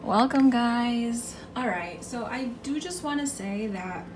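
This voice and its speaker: A woman's voice: fairly high pitch, expressive, takes it slowly, background noise. A woman with a froggy, strained voice giving an earnest, encouraging tarot reading in casual talk, often repeating herself. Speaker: tarot card reader. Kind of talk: casual spiritual pick-a-card reading. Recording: echoey room, far from mic, music behind. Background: music.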